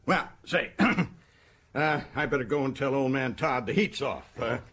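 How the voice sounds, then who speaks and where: An actor playing a folksy, self-important American prison governor in a radio drama, chatty and stammering with excitement. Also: male voice, mid-range voice, normal speed. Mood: matter-of-fact, musing.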